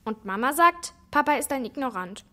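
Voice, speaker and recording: A woman's voice: high-pitched, expressive, moderate pace, fairly bright tone. A woman playing a frank, know-it-all young girl, blunt and confident, in a children's radio play. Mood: curious, frank. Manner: blunt, matter-of-fact, confident.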